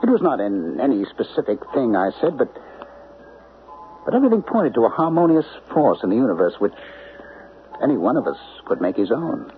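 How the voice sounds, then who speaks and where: A brooding writer of supernatural tales narrates his own story in a questioning, introspective way, played by an actor in a 1970s radio drama. His voice swings widely in pitch. It comes through thin and tinny like an old radio broadcast, with music under it. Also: sing-song, a little fast, lightly acted. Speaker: narrator and lead character, a writer.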